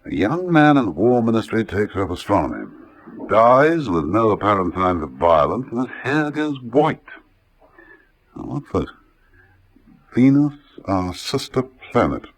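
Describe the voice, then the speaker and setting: An actor playing a suave, wry British gentleman investigator in a radio detective drama, urbane and dryly witty. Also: lowish voice, sing-song, a little slow, pauses often, full character voice. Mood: musing. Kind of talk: 1960s-style detective radio drama acting.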